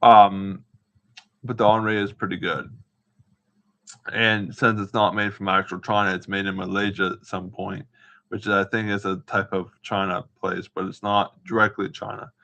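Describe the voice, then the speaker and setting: A man giving a casual, rambling show-and-tell as an amateur vlogger, haltingly searching for words and repeating himself, on muffled home video audio. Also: fairly low pitch, little pitch movement, vocal fry, sharp tone. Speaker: amateur vlogger showing gear.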